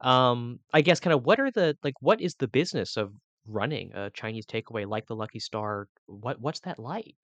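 A man's voice: medium pitch, lively intonation, moderate pace, few pauses, fairly bright tone, no room sound, muffled recording. A man interviewing a guest, repeating words as he builds his questions. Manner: searching, repeats words while forming questions.

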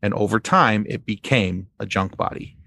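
A man speaking as a podcast guest in casual fan discussion, haltingly repeating phrases as he explains. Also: fairly low pitch, pushed and bright, no room sound.

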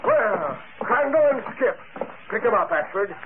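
A man playing a brisk, urgent police sergeant with a commanding, clipped manner in an old radio drama. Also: high-pitched, very animated, loud music behind the voice. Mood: determined. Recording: noisy, tinny old radio broadcast.

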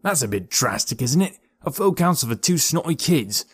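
A man's voice: a little high, big pitch swings, moderate pace, slightly rough, dry recording, voice pushed up. A man voicing a cheeky, dismissive young man of the forest. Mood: scoffing. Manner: scoffing, grumbling delivery.

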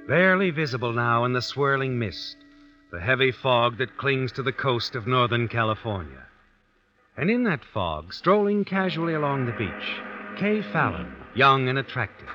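An actor playing an ominous, all-knowing storyteller, narrating an old-time radio mystery in a suspenseful, insinuating manner. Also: male voice, medium pitch, sharp tone. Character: ominous, all-knowing shadowy storyteller who walks by night. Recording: muffled vintage radio recording. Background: music.

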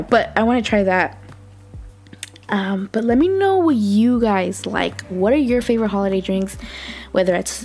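A young woman from Southern California hosting a podcast in casual, bubbly chat, her voice a little raspy from just waking up. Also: medium pitch. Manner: chatty, bubbly, laughs often, quiet morning talk. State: slightly raspy morning voice. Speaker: podcast host.

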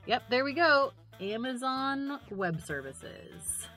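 A woman co-hosting a podcast in casual chat, with an animated, irreverent and sarcastic way of talking that includes free swearing. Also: high voice, takes it slowly, faint music behind. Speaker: podcast co-host.

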